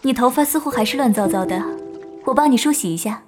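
A woman plays a kind young wanderer in a wuxia audio drama. The voice is fairly high, gentle and solicitous, with faint music behind. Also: animated, fast, close to an everyday voice. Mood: gentle concern.

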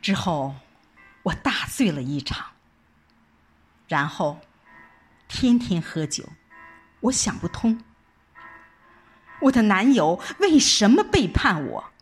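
A woman's voice: medium pitch, sing-song, slow, long pauses, breathy edge, soft background music. A woman giving an emotive, dramatic first-person narration of a scripted story, like an audiobook reader. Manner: emotive, theatrical delivery.

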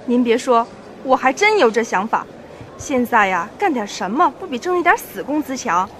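A young woman acting a thrifty, sharp-tongued working wife in an amateur student radio drama. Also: a little high, sing-song, moderate pace, slight background noise. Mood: busy, obliging. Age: young adult. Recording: loud music behind the voice. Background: music with violin.

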